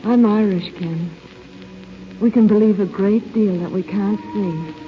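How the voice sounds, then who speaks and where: A woman acts a tender young woman in a vintage radio romance. She sounds earnest, anxious and a little hesitant, in a medium-pitched voice. Music plays clearly behind the dull recording. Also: measured pace, close to an everyday voice. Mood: tender pleading. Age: young adult. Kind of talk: 1930s-40s radio drama acting. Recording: dull old radio broadcast.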